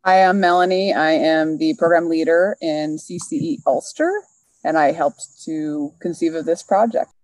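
Woman, lowish voice, dry close sound, thoughtful articulate explaining. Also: normal speed, darkish tone, no room sound, rough recording. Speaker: program leader. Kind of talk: reflective interview answer.

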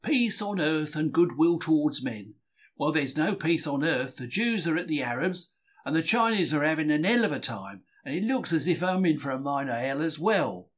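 A man's voice: on the high side, expressive, normal speed. A man reading a diary as a chatty, self-deprecating 1940s market bookseller, wry and plain-spoken. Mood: grumbling, amused. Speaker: reader.

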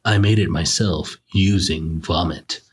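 A young man hosting a podcast, a little hoarse after being sick, chatting in a self-deprecating, joking way and laughing often. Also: deep voice, unhurried, slight background noise. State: hoarse, recovering from illness. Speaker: podcast host, law student. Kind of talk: casual monologue and interview.

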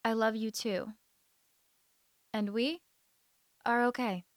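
A woman's voice: on the high side, moderate pace, fairly bright tone, dry recording, studio quality. A woman voicing a clumsy, playful teenage girl who teases and then turns tender.

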